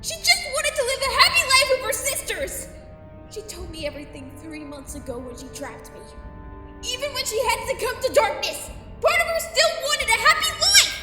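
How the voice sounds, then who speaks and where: A woman's voice: very high-pitched, wildly swooping pitch, normal speed, full character voice. A woman playing a brave, impassioned young cartoon heroine in a fantasy audio drama. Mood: defiant. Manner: impassioned, defiant. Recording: echoing hall reverb with music.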